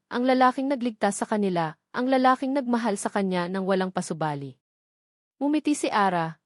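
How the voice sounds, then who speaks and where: A woman's voice: medium pitch, no room sound, clean studio sound. A woman with a Filipino accent reads a scripted romance story as a dramatic narrator.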